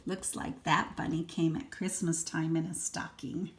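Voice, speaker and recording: An older woman, a grandmother, reading a children's story aloud and adding gentle, chatty asides for a small child. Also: deep voice, steady tone, a little slow.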